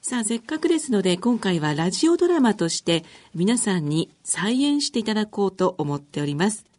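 A woman's voice: medium pitch, sing-song, a little fast, a little mellow. A woman hosting a radio programme, politely interviewing and presenting in a conversational way. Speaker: radio show host.

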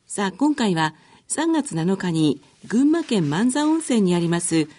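Mellow, husky woman radio host. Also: medium pitch, big pitch swings, unhurried. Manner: polite, formal. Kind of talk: radio presenting and interviewing.

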